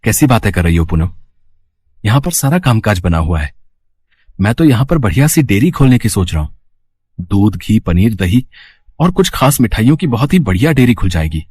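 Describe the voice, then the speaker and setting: Man playing an earnest, husky, animated young son. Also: on the low side, moderate pace, pauses often, no echo. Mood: persuasive. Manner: earnest, pleading, persuasive. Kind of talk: Hindi cartoon moral story acting.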